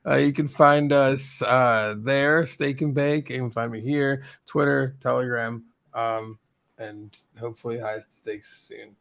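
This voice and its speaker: Gravelly laid-back male guest. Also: medium pitch, steady tone, a little slow, fairly bright tone. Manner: mumbly, laid-back, giggly. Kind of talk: casual livestream chat.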